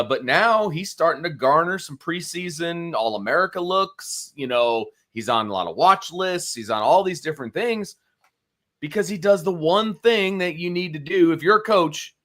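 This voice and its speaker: A man's voice: fairly high pitch, lively intonation, moderate pace, fairly bright tone. A man casually talking football as a sports show co-host, opinionated and conversational, repeating phrases for emphasis.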